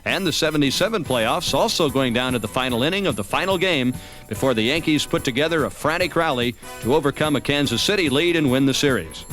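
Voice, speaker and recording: A man's voice: medium pitch, lively intonation, fast talker, fairly bright tone. An American man reading scripted narration for a baseball season documentary. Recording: music bed under narration. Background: background music.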